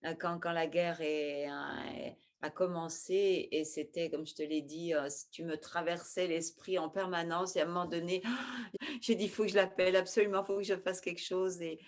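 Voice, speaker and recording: Low-voiced woman hosting a video call. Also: fairly even intonation, moderate pace. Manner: questioning, encouraging with many backchannels. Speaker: host. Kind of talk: casual interview.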